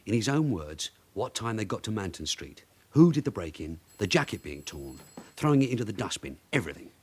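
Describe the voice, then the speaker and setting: An actor playing a shrewd veteran detective sergeant in a British radio drama, a husky voice and terse, probing delivery. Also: male voice, on the low side, very animated, a little fast, a little mellow. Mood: brisk, decisive. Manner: terse, probing questions and clipped orders.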